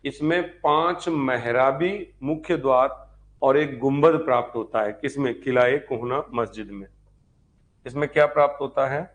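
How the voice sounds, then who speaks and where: Male exam-prep history lecturer, medium pitch, dictates notes didactically and restates each point with quick questions. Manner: didactic, repeats points and asks rhetorical check questions. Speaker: history teacher for exam preparation.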